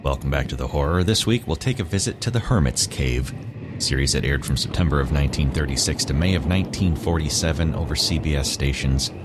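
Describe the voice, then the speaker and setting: A man's voice: extremely low pitch, fast. A man hosting a podcast, giving scripted introductions to old radio shows. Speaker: podcast host. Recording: clear background noise under the voice.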